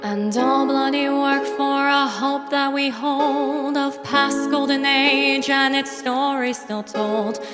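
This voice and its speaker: High female singer with long reverb. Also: fairly even intonation, slow pace, few pauses. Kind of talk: singing a duet ballad. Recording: reverberant vocal over loud music. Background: loud instrumental music.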